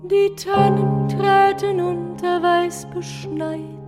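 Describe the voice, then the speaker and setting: A woman's voice: extremely high pitch, steady tone, takes it slowly. A woman singing a poem set to music, in a chanson-like song with instrumental accompaniment. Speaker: singer.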